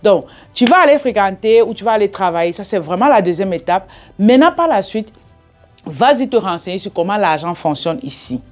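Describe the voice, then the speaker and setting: A woman's voice: mid-range voice, big pitch swings, fairly quick, darkish tone, soft background music. A woman with an African French accent giving advice straight to viewers in an emphatic, instructive way, often asking rhetorical questions and checking in. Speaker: business coach and video host. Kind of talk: direct-to-audience advice vlog.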